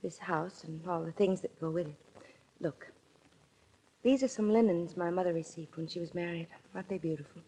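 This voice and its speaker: Low-voiced seductive radio heiress, played by a woman. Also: fairly even intonation, normal speed, mellow, slight background noise, natural voice, rough recording. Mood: romantic, inviting. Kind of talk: 1940s radio drama acting. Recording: vintage 1940s radio recording.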